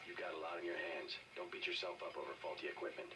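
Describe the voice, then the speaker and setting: A man playing a stoic government agent in a video game, with a deadpan, clipped delivery. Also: low voice, fast talker, distant mic, noisy background, close to an everyday voice. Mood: calm.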